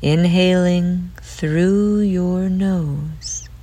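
A woman leading a guided relaxation meditation in a gentle, soothing way, with phrase ends trailing off into breath. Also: lowish voice, steady tone, drawn-out words.

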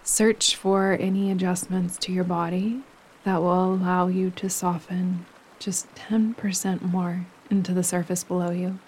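A woman leading a guided relaxation meditation as a yoga nidra teacher, speaking gently and soothingly, with pauses between phrases. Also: on the low side, a little hiss or hum, rough recording. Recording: steady running stream water behind voice. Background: gentle running stream sounds.

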